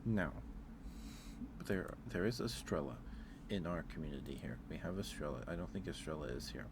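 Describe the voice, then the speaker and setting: A man's voice: rumbling low, expressive, normal speed, background noise. A man who streams ASMR, chatting casually and musing aloud in a hesitant, rambling way. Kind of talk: casual ASMR livestream chat.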